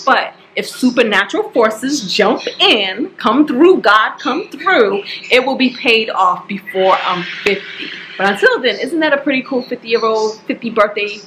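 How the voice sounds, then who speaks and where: A woman in her forties, talking casually to camera at home about her monthly budget, chatty and expressive, calling her viewers y'all. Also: mid-range voice, slight background noise, poor audio. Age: 40s. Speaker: budgeting vlogger. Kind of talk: casual direct-to-camera talk. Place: home staircase, indoors. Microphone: camera at close range.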